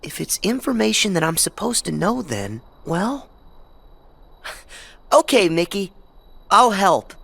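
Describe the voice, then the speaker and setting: A good-hearted, naive teenage boy hero talks unhurriedly, with a creaky edge and very expressive pitch. It is video game dialogue with music playing behind it. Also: slow pace, long pauses, heavily acted. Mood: hesitant, willing.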